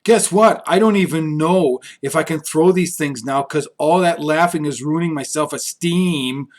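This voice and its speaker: A sassy young schoolgirl in a storybook, acted out by a man, grouchy and defiant. She sounds blunt and indignant, in a fairly high voice. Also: normal speed, a little mellow, close to an everyday voice.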